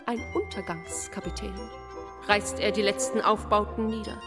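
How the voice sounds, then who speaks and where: A woman acting as a solemn radio play narrator, reading poetic text in measured, deliberate phrases. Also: mid-range voice, steady tone, music in the background, natural voice. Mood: solemn, intense. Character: solemn female narrator of an inner ordeal.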